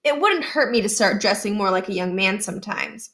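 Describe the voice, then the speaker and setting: A woman voicing a whiny young schoolboy who pleads with his mother in drawn-out, emphatic words. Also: mid-range voice, expressive, a bit bright. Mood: exasperated pleading.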